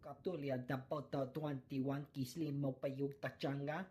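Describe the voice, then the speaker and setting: A woman's voice: a bass voice, steady tone, unhurried, dark tone. A woman voicing a pushy alien who speaks an invented tongue in a video game. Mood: insistent.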